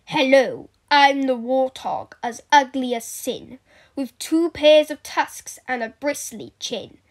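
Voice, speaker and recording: A woman's voice: high voice, takes it slowly. A woman voicing a dumpy, bristly warthog who boasts in rhyme. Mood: boastful. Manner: boastful, rhyming.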